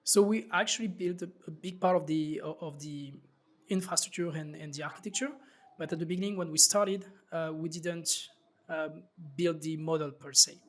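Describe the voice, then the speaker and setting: A man's voice: fairly high pitch, steady tone, moderate pace. A man from France with a French accent, a startup founder talking loosely as an interview guest with many fillers and restarts. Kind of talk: casual interview talk.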